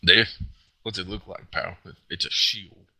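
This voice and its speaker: A man playing a gruff, cranky non-human mercenary with a growling voice and slangy tough-guy talk, in a role-played interview. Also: steady tone, unhurried, bright, forceful tone, full character voice, poor audio. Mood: gruff, boastful. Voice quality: very deep gravelly growl. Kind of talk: in-character mock interview role-play.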